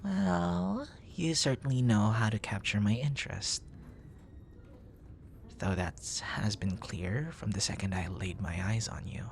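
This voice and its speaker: A man playing a charming, smitten young nerd in an ASMR boyfriend roleplay, murmuring softly in courtly, poetic phrases. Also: fairly low pitch, lively intonation, measured pace, gravelly, slight background noise. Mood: playful, adoring.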